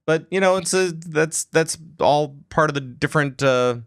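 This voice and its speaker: A man's voice: a little high, moderate pace. Rambling male podcast host. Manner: rambling, heavy on filler words. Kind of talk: casual gaming podcast chat.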